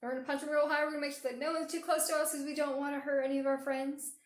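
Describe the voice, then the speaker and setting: Woman, high voice, children's storytime host, animated read-aloud, careful exaggerated enunciation, echoey room. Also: racing. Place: indoor room with a couch.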